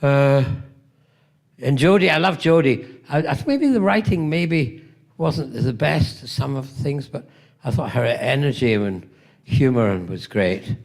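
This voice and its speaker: A gravelly older British man spins rambling, playful stories at a convention panel. His voice has a rough edge, and he throws in self-mocking jokes between tales, now and then coughing from a tickle in his throat. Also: mid-range voice, lively intonation, a little slow. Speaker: convention guest actor. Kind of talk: convention Q&A storytelling. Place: convention hall stage.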